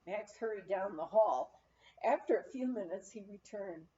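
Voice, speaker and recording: A woman reading an anecdotal memoir aloud as an expressive storyteller. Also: mid-range voice, normal speed, muffled recording. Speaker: audiobook reader.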